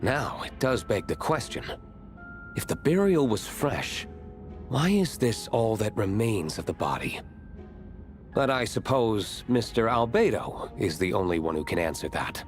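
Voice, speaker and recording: A man's voice: lowish voice, big pitch swings, measured pace, faint music behind. A man playing a stern, reserved nobleman in a video game, testifying in a serious, curt manner. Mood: curt, accusing. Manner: serious, matter-of-fact, curt.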